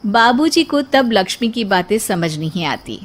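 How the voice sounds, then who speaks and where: Female narrator, scripted storytelling, mid-range pitch, story reading. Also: very animated, moderate pace, heavy background noise.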